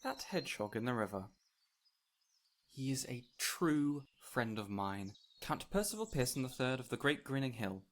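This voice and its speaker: A man playing an ancient, whimsical fey spirit in an audio roleplay, speaking in a gentle, courtly, theatrical way with lingering pauses. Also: medium pitch, big pitch swings, lightly acted. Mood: earnest, warm. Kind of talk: audio roleplay addressed to the listener.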